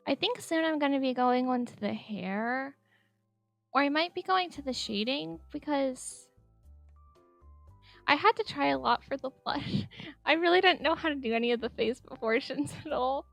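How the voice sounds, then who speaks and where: A woman casually narrating her drawing process over a speedpaint, chatty and giggly, rambling with lots of filler words, speaking higher than her usual voice. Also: normal speed, vocal fry, dry recording. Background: soft background music.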